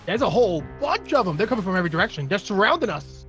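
A male co-player in a gaming video, talking fast with wildly swinging pitch. His banter is casual roleplay chat over music. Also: very animated, fairly bright tone.

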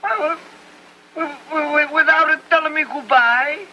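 An actor playing an excitable older immigrant farmer who speaks broken English with stammering exclamations, in old film acting style. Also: male voice, high-pitched, moderate pace, a little hiss or hum, full character voice, poor audio. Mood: upset.

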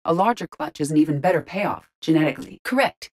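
Female android AI, low-pitched, measured, formal, analytical, detached, video game character. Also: lively intonation, a little mellow, slightly rough. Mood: calm, analytical. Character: calm, analytical female artificial intelligence in an android body.

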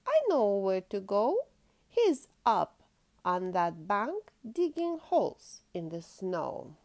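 Helpful storybook animal, voiced by a woman, questioning and advising, with wide pitch swings. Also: big pitch swings, slow pace, darkish tone. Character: helpful Arctic animals advising a lost bear cub. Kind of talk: expressive picture-book read-aloud.

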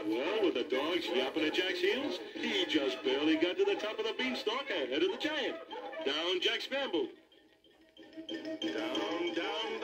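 A man's voice: high voice, low-quality recording. A man voicing a folksy, easygoing cartoon bear telling a jokey fairy tale for children. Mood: animated. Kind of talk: animated comic storytelling for children.

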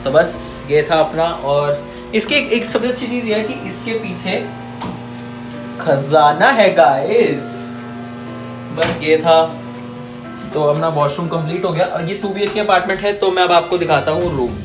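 A man's voice: fairly high pitch, unhurried, music in the background. A man in his twenties giving a casual, jokey tour of his small apartment, talking into a phone held at arm's length and mixing English into his Hindi. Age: 20s. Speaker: vlogger. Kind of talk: casual home-tour vlog.

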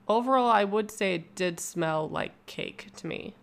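A woman's voice: medium pitch, measured pace, vocal fry. A young woman vlogger doing a casual, upbeat voice-over review of a bath product from home. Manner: upbeat, chatty and enthusiastic. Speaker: YouTube vlogger.

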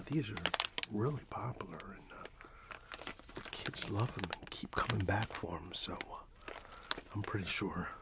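A middle-aged man in a soft-spoken ASMR roleplay as a friendly candy shop owner, talking gently and unhurriedly close to the microphone. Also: fairly low pitch, very noisy. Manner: gentle, whispery, unhurried customer service.

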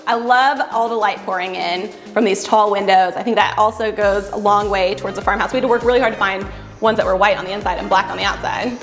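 A woman's voice: medium pitch, fairly even intonation, fast talker, fairly bright tone. A woman in her thirties co-hosting a house-flip home tour, explaining design choices enthusiastically on a lapel mic in an empty renovated house. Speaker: house flipper co-host. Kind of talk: casual home-tour chat. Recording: echoey empty rooms, faint music.

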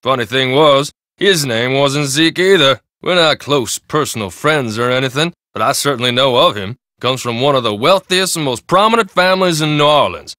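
A man's voice: mid-range voice, expressive, moderate pace, hardly any pauses, bright, forceful tone, dry recording, studio quality. An actor playing a folksy, talkative male shop owner in a video game, with easy salesman patter. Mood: chatty. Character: chatty male shop owner, friendly hustler. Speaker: game character.

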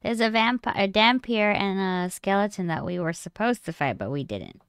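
A woman's voice: mid-range voice, very animated, moderate pace, smooth, no echo, studio quality. A woman playing a tabletop game, chatting casually with the others. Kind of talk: casual chat.

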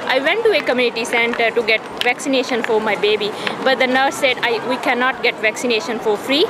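A young woman from India speaking earnestly in halting, simple sentences to a camera outdoors at a march. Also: fairly high pitch. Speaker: interviewee. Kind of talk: casual interview. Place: outdoors on steps of a city building. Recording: loud crowd and street noise. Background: crowd at a street march.

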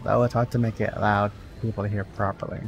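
Quiet, soft-spoken man streaming a game. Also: flat delivery, fast talker, creaky, music in the background. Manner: low-key, chatting with viewers between play. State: under the weather. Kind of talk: casual gameplay stream chat.

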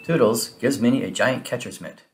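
A man reading a children's story aloud in an expressive, animated way with dramatic emphasis. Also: medium pitch, fairly quick, very noisy. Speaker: storybook reader. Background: music.

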